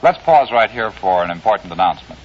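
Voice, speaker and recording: Husky radio announcer, male. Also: lowish voice, lively intonation, quick pace. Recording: muffled 1950s radio recording.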